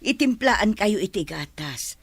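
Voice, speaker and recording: Dali, a husky, humble housemaid. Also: female voice, mid-range voice, expressive, unhurried, no echo, exaggerated voice. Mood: deferential. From Philippines. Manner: deferential, soft-spoken. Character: humble loyal young housemaid, grateful and polite. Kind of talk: radio drama acting.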